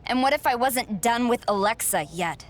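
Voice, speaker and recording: A woman's voice: on the high side, animated, normal speed, close to an everyday voice. A woman playing an arrogant, condescending villainous wrestling champion in a video game. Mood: haughty. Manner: smug, condescending, boastful. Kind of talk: scripted video game cutscene acting.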